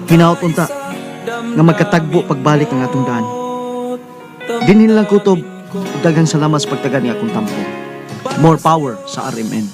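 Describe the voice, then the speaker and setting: A man's voice: on the high side, natural voice. An actor playing an earnest, hesitant young working man who dreams of boxing, in a Bisaya radio drama. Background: music under the voice.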